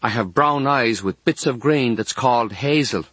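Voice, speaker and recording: A man's voice: medium pitch, normal speed, fairly bright tone, slightly rough, no echo. A man voicing an earnest ten-year-old Irish boy in a storybook reading, giving simple, matter-of-fact answers.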